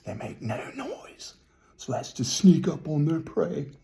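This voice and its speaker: Male storybook reader, very mellow and animated, whimsical musing asides, roomy sound. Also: fairly high pitch, measured pace, vocal fry, in a whisper. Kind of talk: children's story reading with chatty asides.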